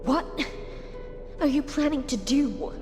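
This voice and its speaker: An actress voicing a solemn, enigmatic oracle in a video game, grave and poetic, with a hushed, measured delivery. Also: female voice, high voice, music in the background. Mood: troubled. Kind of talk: scripted video game voice acting.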